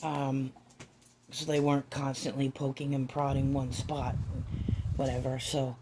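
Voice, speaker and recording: A woman chatting softly in a home vlog, her voice raspy and hoarse from a raw throat, halting with many ums and restarts. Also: a bass voice, level tone, noisy background. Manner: soft, hushed, near-whispering. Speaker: doll-collecting vlogger. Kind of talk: casual vlog chat.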